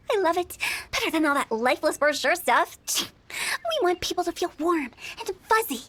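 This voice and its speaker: Brief: a playful, scheming young funeral director, high and bright, teasing with creepy asides. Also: female voice, big pitch swings, moderate pace, full character voice. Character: mischievous young female funeral parlor director. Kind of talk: video game voice acting.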